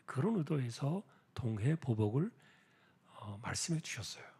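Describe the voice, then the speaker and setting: Husky male preacher with animated, swinging pitch. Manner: explanatory, rhetorical questions, deliberate emphasis. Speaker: pastor preaching at a church prayer meeting. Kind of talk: expository sermon.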